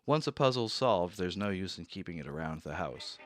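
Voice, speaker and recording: A man's voice: low voice, animated, no echo. A man playing a fussy, exacting accountant. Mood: dry. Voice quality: measured, dry and exacting. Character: fussy, precise middle-aged male accountant, rigid and easily rattled. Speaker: narrator. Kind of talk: radio drama narration. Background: soft music.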